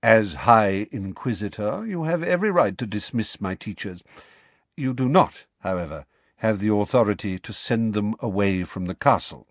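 A man playing an old headmaster with a deep, calm and courteous manner. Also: normal speed, no room sound.

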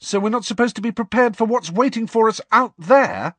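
A defiant teenage boy in an audiobook, played by a man, pitched up and forceful. He talks back loudly and sarcastically, with a short temper and lively swings in pitch. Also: fairly quick, dry recording. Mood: challenging. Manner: loud, challenging, sarcastic. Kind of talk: audiobook character voice.

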